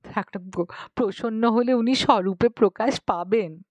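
A narrator plays a pious village woman in an audio story, devoted and anxious. She implores with reverence, slowly, in a dry recording. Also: a little high, a little mellow, no echo. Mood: pleading.

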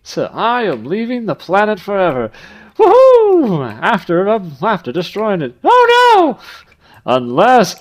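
A man's voice: very high voice, huge pitch swings, slow pace, a little mellow, lo-fi sound. A man giving casual, playful gaming commentary as he chats and teases a friend. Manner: playful, teasing. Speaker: gaming video host. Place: indoor room at a computer.

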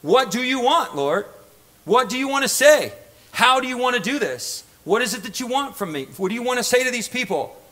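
A man's voice: very high voice, expressive, fairly quick, pushed and bright. A middle-aged man preaching an impassioned sermon, emphatic and repetitive, prodding listeners with rhetorical questions. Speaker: pastor. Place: church stage.

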